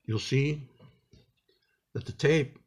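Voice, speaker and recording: A man giving an improvised hands-on tutorial, talking unhurriedly and haltingly through each step as he works. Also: mid-range voice, a little mellow, slightly rough. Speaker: instructor demonstrating a repair.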